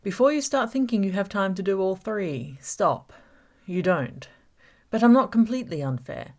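A woman's voice: mid-range voice, expressive, moderate pace, darkish tone, voice pushed up, close to an everyday voice. A woman voicing a taunting, manipulative male villain in an audiobook, gloating and mock-reasonable. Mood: gloating menace. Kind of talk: audiobook character voice.

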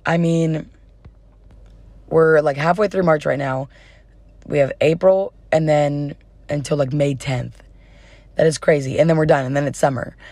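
A woman's voice: sits low, steady tone, a little slow, long pauses, a little mellow, no echo. A young adult woman hosting a casual solo podcast in an informal, chatty way, her voice slightly worn as if she is starting to lose it. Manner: informal, giggly, uses y'all. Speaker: podcast host.

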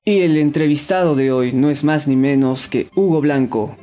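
A man's voice: a little high, moderate pace, warm tone, soft background music. A man hosting a podcast and reading a scripted introduction. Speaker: podcast host.

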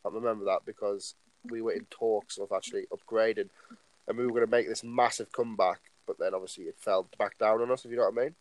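A man's voice: lowish voice, steady tone, quick pace, no echo, rough recording. A nineteen-year-old man co-hosting a casual podcast, rambling along and filling his talk with "like" and "obviously". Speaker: podcast co-host.

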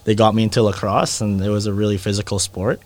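Man, Canadian accent, lowish pitch, slangy casual talk, podcast guest, dry sound. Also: little pitch movement, quick pace, darkish tone, no room sound. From born in the Philippines, raised in Calgary, Canada. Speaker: podcast guest, boxing instructor. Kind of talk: casual chat.

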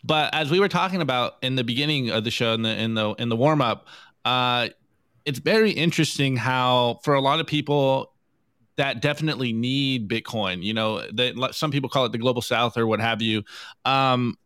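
Male podcast host, relaxed and slangy, recorded dry and close. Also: medium pitch, moderate pace, clear, resonant tone, dry recording. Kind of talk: casual interview talk.

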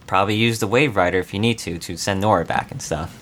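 A male podcast co-host with a fairly low voice. He talks in a casual, laid-back, conversational way. Faint ambient noise can be heard in the recording. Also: fast, a bit bright. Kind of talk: casual chat.

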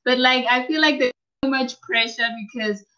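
A young woman from South Africa with a South African accent, a university student chatting casually as an interview guest, relaxed and quick to laugh. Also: high voice. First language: Northern Sotho and Tsonga. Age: young adult. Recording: muffled lo-fi audio.

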